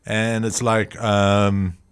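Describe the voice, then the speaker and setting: Low-voiced man in his thirties, rambling. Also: very slow, smooth. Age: 30s. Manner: rambling, animated, acts out little scenes. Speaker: podcast co-host. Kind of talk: casual chat.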